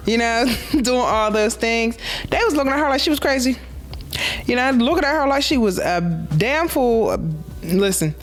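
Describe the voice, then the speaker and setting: Expressive woman reviewing a film. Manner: expressive, conversational, acts out quotes with big pitch jumps. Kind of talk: casual reflective monologue. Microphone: handheld mic.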